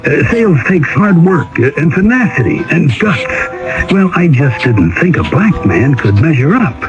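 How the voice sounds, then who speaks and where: An actor playing a frank, folksy middle-aged boss in a conversational radio public service spot. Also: male voice, a little high, sing-song, moderate pace, lo-fi sound, music in the background. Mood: candid. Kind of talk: acted public service announcement.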